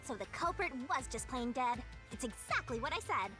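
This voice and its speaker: High-pitched bratty spirit girl, swooping intonation, smug, theatrical, anime game guide, music behind. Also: big pitch swings, normal speed. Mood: brisk, bossy. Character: bratty, boastful, lazy young female death-god spirit guide. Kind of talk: anime-style video game voice acting.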